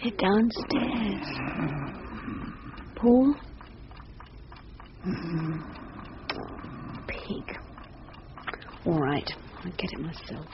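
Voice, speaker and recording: An actress playing a nervous wife in a thriller radio drama, anxious, pleading and insistent. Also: female voice, medium pitch, lively intonation, drawn-out words. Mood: sleepless, irritated. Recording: dull lo-fi radio recording, faint noise.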